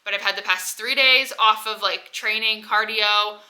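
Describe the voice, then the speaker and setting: Bright-voiced young woman with a cold. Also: medium pitch, steady tone, moderate pace. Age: 20s. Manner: rambling, heavy use of "like". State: stuffy with a head cold. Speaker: vlogger. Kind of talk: casual personal vlog.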